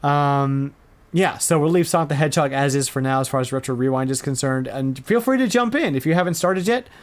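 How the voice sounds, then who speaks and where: A man's voice: mid-range voice, expressive, brisk. Guy hosting a podcast, mid-range voice, chatty and emphatic, says things twice for effect. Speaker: podcast host. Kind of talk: casual podcast chat.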